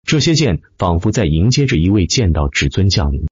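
Male audiobook narrator, animated dramatic delivery, lowish voice, close dry sound with dulled top end. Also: brisk, slightly rough, dry recording, lo-fi sound. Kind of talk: scripted dramatic narration of fantasy web novel.

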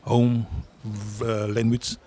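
A man's voice: fairly low pitch, flat delivery, slow, mellow. A middle-aged South African man with an Afrikaans accent, a union leader answering interview questions earnestly and repeating words for emphasis, speaking into a handheld mic outdoors. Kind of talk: interview answers at a public event. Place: outdoor garden event.

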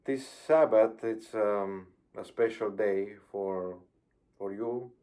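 A man's voice: fairly low pitch, slow, mellow. A middle-aged man with a Romanian accent preaching a sermon over a video call from a plain room, careful and hesitant with frequent ums. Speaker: pastor. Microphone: webcam on video call.

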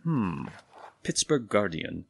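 An actor playing a prim, officious hotel desk clerk in a period audio comedy, speaking in a precise, pompous deadpan with a creaky edge. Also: male voice, lowish voice, slow pace, a little hiss or hum, full character voice. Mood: dry, deadpan.

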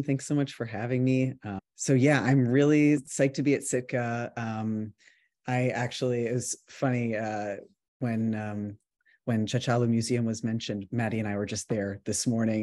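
A man from New York City giving a casual talk about his writing over a video call, relaxed and full of ums and restarts. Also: medium pitch. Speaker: author giving a resident talk.

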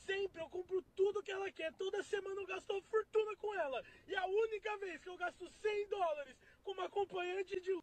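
A man's voice: very high-pitched, steady tone, fairly quick, hardly any pauses. A young man ranting to the camera in a played clip. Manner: complaining rant to camera.

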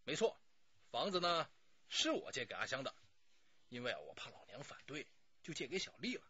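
A man's voice: medium pitch, sing-song, a little fast, sharp tone, slightly rough, highs cut off, heavily acted. An actor playing a talkative, self-justifying middle-aged man under police questioning in a radio drama, pleading and sighing as he explains. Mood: frank, defensive.

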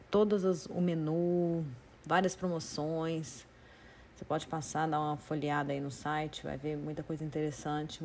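A woman's voice: lowish voice, darkish tone, a little hiss or hum. A woman with a Brazilian Portuguese accent, chatting casually to her viewers as she explains a tip and walks through meal prep in her home kitchen. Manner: chatty, informal, addresses viewers directly. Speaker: vlogger. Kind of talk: casual how-to narration.

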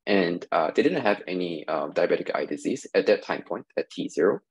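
A man giving a recorded conference talk over slides, measured and explanatory, with many "uh" fillers, on a webcam mic. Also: low voice, animated, husky. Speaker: industry researcher giving a talk.

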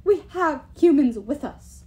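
A woman's voice: sits high, animated, unhurried, dark tone, pitched above the performer's own voice. A woman voicing a towering, suspicious and bitter giantess in an audiobook, sardonic and scolding. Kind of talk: audiobook character voice.

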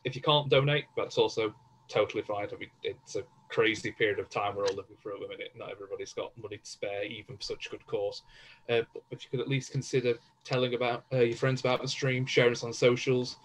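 A man hosts a casual online stream with effusive, chatty delivery. His pitch is medium, and there is some faint noise behind his voice. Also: steady tone, fast talker. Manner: effusive with thanks. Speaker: stream host. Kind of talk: casual livestream sign-off. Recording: noisy background on webcam stream.

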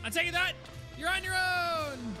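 A man's voice: very high voice, measured pace, over music. A man playing a cocky, teasing boy at a daycare in an improvised Minecraft roleplay, taunting and exclamatory.

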